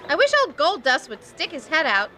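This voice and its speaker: Woman playing a perky, high-voiced film-company gal. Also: sing-song, brisk, sharp tone, soft background music, full character voice. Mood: breezy. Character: chatty, perky young movie company woman. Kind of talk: old-time detective radio drama acting.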